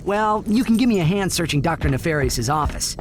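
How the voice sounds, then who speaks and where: An actor playing a young alien hero with a casual, wry manner. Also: male voice, fairly high pitch, expressive, a little fast, close to an everyday voice. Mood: casual, curious. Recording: noisy ambience behind.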